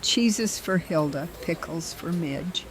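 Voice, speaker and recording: A woman playing a slow, formal mysterious trader. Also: on the low side, lively intonation, background noise. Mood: assured. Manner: measured, deliberate, formal.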